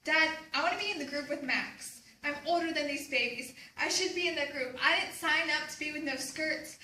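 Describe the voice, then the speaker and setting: Woman as a bratty, very high-voiced little boy. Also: brisk, sharp tone. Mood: whiny, scornful. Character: bratty, whiny little boy scornful of girls. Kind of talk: readers theater stage performance. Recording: echoey room, distant mic, faint noise.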